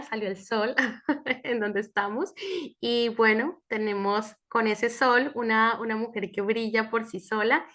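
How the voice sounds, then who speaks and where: A woman hosting an interview, introducing her guest and asking questions in an affirming way. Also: medium pitch. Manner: warm, affirming, asks questions with tag "¿no?". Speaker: interview host.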